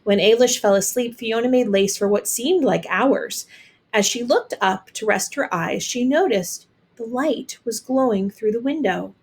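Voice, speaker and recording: A woman reading a children's picture book aloud as an expressive storyteller. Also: fairly high pitch, unhurried.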